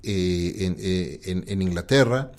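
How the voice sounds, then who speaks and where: A man's voice: low voice, slow, darkish tone. A man with a Mexican accent hosting a conversational podcast interview, posing long, reflective questions.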